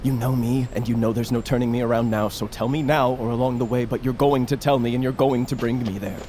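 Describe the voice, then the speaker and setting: A man's voice: mid-range voice, fairly even intonation, quick pace, mellow, natural voice. A young man acting in an audio drama as a headstrong, vengeful grandson and warrior, speaking with earnest insistence. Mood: defiant, insistent. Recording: clearly noisy ambience behind the voice.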